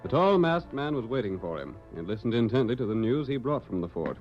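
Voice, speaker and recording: A man's voice: mid-range voice, big pitch swings, fairly quick, soft background music. A man reading scripted narration for a 1940s radio western drama. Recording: dull vintage radio recording.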